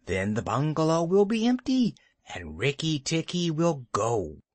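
A storyteller voicing a male cobra who speaks in a measured, cold way. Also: medium pitch, big pitch swings, no echo, voice pushed up. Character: hesitant then ruthless male cobra.

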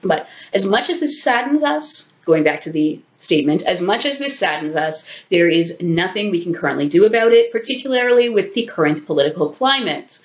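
A woman in her thirties, sick and a little stuffed up, talks frankly and casually to her webcam, swearing and laughing as she goes. Her voice sits a bit low. The sound is dull and lo-fi, with the echo of a small home room. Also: expressive, normal speed, few pauses. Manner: chatty, frank and profane, with frequent laughs and asides. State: sick with a sore throat and congestion. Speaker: vlogger. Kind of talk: casual talking-head commentary. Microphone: webcam at desk distance.

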